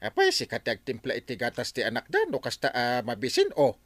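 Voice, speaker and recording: A man's voice: mid-range voice, wildly swooping pitch, fast, no room sound. A man playing an easygoing village man, reasoning hesitantly in a comedy drama. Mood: resigned, reasoning.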